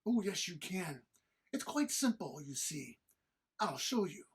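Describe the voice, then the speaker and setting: A woman playing a suave male devil in an audio drama read-through, speaking formally and deliberately with smug, arch menace. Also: fairly low pitch, big pitch swings, breathy edge, exaggerated voice. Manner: formal, deliberate, archly sinister phrasing. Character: Old Scratch, suave devilish male fiend, smugly menacing.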